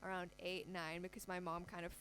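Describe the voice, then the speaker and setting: A seventeen-year-old singer-songwriter from Thailand, friendly and upbeat, laughing easily as a radio guest. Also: female voice, fairly low pitch, level tone, a little fast, fairly bright tone, slight background noise. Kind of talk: casual radio interview. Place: campus radio studio.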